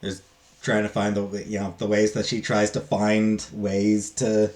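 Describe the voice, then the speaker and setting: A man giving a casual, unscripted book review in a halting, rambling way that often trails off. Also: fairly low pitch, completely flat delivery, darkish tone.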